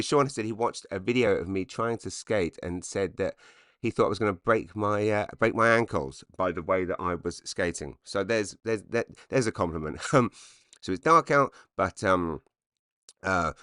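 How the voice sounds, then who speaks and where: A man in his forties with a slightly croaky voice, rambling self-deprecatingly through a comedic solo podcast monologue. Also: deep voice, a bit bright, no room sound, clean studio sound. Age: 40s. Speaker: podcast host, stand-up comedian.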